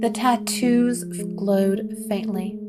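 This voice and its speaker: A woman narrating a scripted gothic horror story in a measured, dramatic storytelling manner. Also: medium pitch, fairly even intonation, loud music behind the voice.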